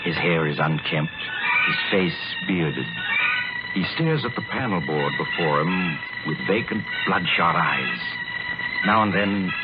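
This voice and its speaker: An actor playing an ominous male host, narrating a 1940s radio mystery with theatrical suspense. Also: rumbling low. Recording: muffled old radio, loud music behind.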